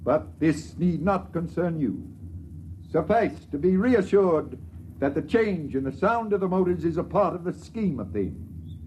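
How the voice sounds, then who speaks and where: A solemn male priest intones holy writ in a rather high voice. He is ceremonial, measured and pompous, in old 1950s radio drama style with faint recording noise. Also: lively intonation, a little mellow. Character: solemn priest reciting the sacred book of the ship.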